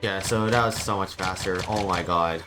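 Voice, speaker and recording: Deep voice, streamer, casual gameplay commentary, low-key, thinking aloud, game music behind. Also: fairly even intonation, normal speed.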